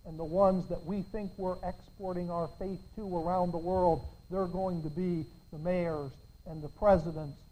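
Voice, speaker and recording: A woman preaching a sermon, quoting scripture with emphatic, dramatic pauses and swings from hushed to loud. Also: lowish voice, steady tone, rough recording. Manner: repeats phrases for emphasis.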